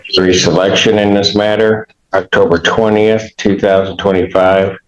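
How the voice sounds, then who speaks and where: Man, judge, low pitch, formal procedural delivery, insistent, muffled noisy courtroom recording. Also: level tone, normal speed. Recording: dull, noisy courtroom microphone audio.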